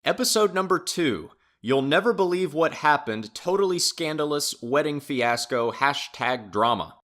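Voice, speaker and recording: A man plays a skeptical legal official in an audio drama. His voice is slightly high with lively rises and falls. He speaks formally, weighing things up. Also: moderate pace. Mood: skeptical, puzzled. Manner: formal, deliberating.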